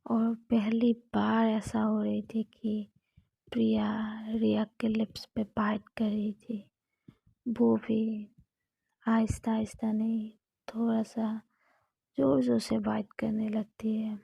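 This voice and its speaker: A woman narrating a romantic story in a steady, close whisper. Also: a little high, slow pace, creaky, slightly rough, dry recording. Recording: dull lo-fi recording.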